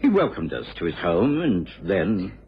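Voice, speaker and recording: An actor playing a mild, loyal gentleman who narrates a detective radio drama with a measured, gently concerned manner. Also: low-pitched, sing-song, a little hiss or hum, full character voice. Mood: reflective narration. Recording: muffled old radio drama recording.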